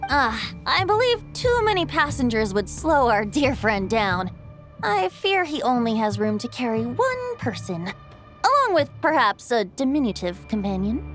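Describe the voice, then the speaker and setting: An actress voicing a playful, knowing bard in a video game, with flowery, gently theatrical phrasing. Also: female voice, fairly high pitch, big pitch swings, unhurried. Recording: music behind the voice.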